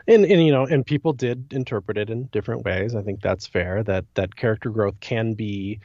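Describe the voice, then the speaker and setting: Lowish-voiced man, dull dry recording. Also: a little fast, dark tone, no echo. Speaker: podcast co-host. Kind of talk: casual chat about an online game.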